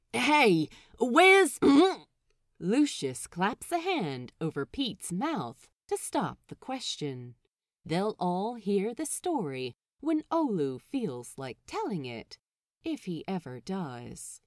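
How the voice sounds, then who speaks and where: Female narrator for comic fiction: highly animated, unhurried pace, dry close recording. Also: no echo. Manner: animated, dramatic delivery. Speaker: podfic narrator. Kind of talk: expressive storybook narration of comic fan fiction.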